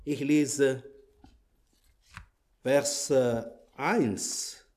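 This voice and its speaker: A man whose first language is Malagasy, speaking German with a French accent as a visiting preacher in church, halting and searching for words. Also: medium pitch, fairly even intonation, a little mellow. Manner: halting, many fillers and restarts, searches for words. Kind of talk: church greeting and sermon opening. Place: church hall.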